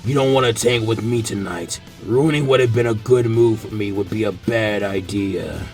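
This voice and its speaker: A man voicing a large, menacing adult male ninja with a gravelly growl and slow, threatening drawl. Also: lowish voice, soft background music, pitched below the performer's own voice, lightly acted. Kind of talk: audiobook character reading.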